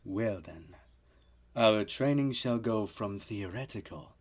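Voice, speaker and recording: Man playing a slow, low-voiced formal mentor. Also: animated. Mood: composed. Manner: measured, formal. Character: composed, formal male mentor of water magic.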